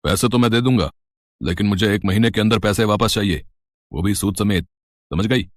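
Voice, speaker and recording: A man's voice: fairly low pitch, normal speed, no room sound, close to an everyday voice. A man voicing a stern, greedy village moneylender in a story, with a demanding, threatening manner.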